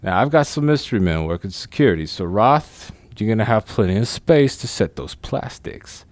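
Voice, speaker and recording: An actor playing a brash, wisecracking crime boss with slangy, bossy street talk in an audio drama. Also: male voice, fairly low pitch, lively intonation, moderate pace, no room sound, close to an everyday voice. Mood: bossy, brisk.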